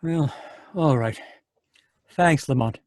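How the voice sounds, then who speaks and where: A man's voice: a little high, takes it slowly, darkish tone, no echo. A man playing a worried, earnest psychiatrist in an old-time radio drama. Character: anxious male psychiatrist.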